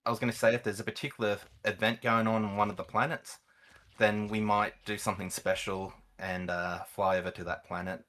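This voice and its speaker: A man's voice: lowish voice, flat delivery, a little fast, fairly bright tone. A man speaking as an experienced gamer and guest, explaining things in casual conversation.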